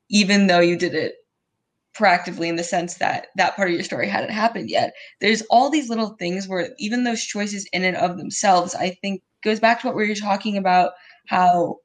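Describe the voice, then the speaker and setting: Woman cohost, calm and thoughtful, talks smoothly, podcast chat. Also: medium pitch. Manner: reflective, fluent and measured. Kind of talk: casual chat.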